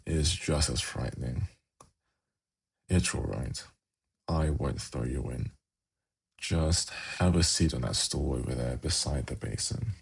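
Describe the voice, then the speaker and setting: A man playing a suave, teasing, gently menacing demon prince in an intimate ASMR fantasy roleplay, with a slow, coaxing delivery. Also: exaggerated voice. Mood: coaxing, amused. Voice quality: very low, gravelly, husky.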